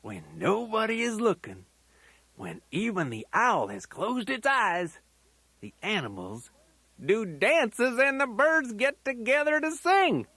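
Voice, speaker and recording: A man's voice: high voice, sing-song, slow, sharp tone, dry recording, full character voice. A man voicing a folksy, playful old grandfather teddy bear who rambles in a children's storytelling tape. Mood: cranky, amused. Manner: folksy, rambling, sing-song rhyming asides.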